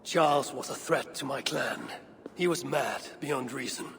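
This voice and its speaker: An actor playing a stern Viking warrior in a video game, speaking tersely and without apology. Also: male voice, mid-range voice, unhurried, creaky, fairly bright tone, big-room sound, slight background noise. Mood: firm justification. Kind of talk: dramatic video game acting.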